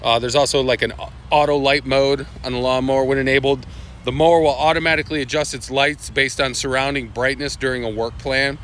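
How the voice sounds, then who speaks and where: Rambling man with a bright, crisp voice. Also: medium pitch, fairly even intonation, brisk, a little hiss or hum. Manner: loose and rambling, with many fillers, restarts and asides. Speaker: livestream host presenting product updates. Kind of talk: casual live product walkthrough.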